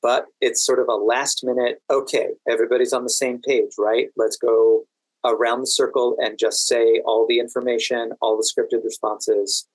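Man, medium pitch, podcast guest, casual thorough explanations, dry recording. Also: level tone, normal speed, dry recording, rough recording. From Washington DC, United States. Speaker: podcast guest, working standardized patient.

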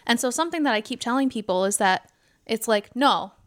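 A woman's voice: on the high side, lively intonation, a little fast. A woman in a casual podcast chat, frank and emphatic, repeating points to drive them home. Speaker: theatre company podcast speaker, stage manager.

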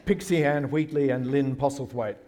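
A man's voice: mid-range voice, steady tone, normal speed, dark tone. A man of Scottish descent hosting a talk, introducing his guest and then asking her questions, with light laughs. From Scotland. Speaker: host.

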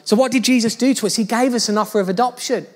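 A man's voice: very high voice, quick pace. A man preaching to his church in a chatty, joking, storytelling way. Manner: chatty, jokey storytelling, addressing the church directly. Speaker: pastor. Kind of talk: sermon.

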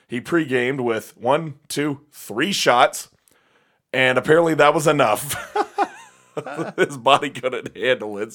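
A young man with a slightly raspy voice chatting casually as a podcast host, laughing often and rambling through fillers. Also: medium pitch. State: slightly raspy voice after a gig. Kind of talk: casual chat.

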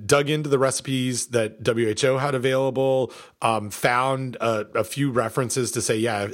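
A middle-aged man co-hosting a podcast, chatting casually with many fillers, pauses and restarts. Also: medium pitch, a bit bright. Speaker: podcast co-host.

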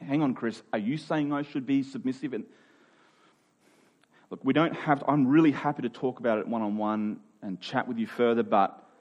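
A man's voice: mid-range voice, darkish tone, dull audio. A man preaching a sermon in a conversational way, building to emphatic peaks. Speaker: pastor.

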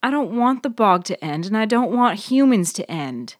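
A woman's voice: medium pitch, expressive, moderate pace, dry recording, pitched above the performer's own voice, close to an everyday voice. Anxious, insistent young-hearted heroine for audio fiction female narrator acting, earnest, searching delivery, close dry sound. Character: Maddie, anxious, earnest woman who loves the bog. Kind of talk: dramatised audiobook dialogue.

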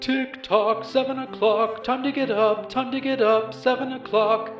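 A man's voice: very high-pitched, steady tone, unhurried, echoey room, highs cut off, soft background music, pitched above the performer's own voice. A man voicing an anxious talking house clock in a repeating singsong chant for an audio drama. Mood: chirpy, urgent.

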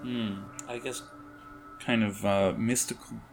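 A man improvising narration as game master of a tabletop horror game, halting as he searches for words. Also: on the low side, steady tone, soft background music.

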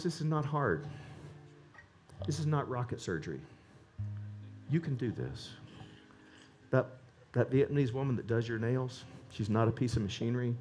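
A man preaching a sermon, animated and rising to emphatic peaks. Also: medium pitch.

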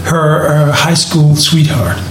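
A man chatting casually and telling stories in an animated way. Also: on the high side, takes it slowly. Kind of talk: casual chat. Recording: echoey room with background noise.

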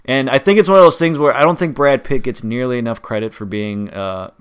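Man, Wisconsin accent, smooth resonant voice, podcast co-host, casual halting chat. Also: mid-range voice. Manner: conversational, halting with restarts.

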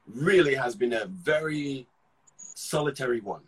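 Husky middle-aged guy from ghana, actor chatting in an interview, keeps stumbling and restarting mid-thought. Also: medium pitch, animated, rough recording. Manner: halting, restarts and repeats words while thinking aloud. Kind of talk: casual conversational storytelling.

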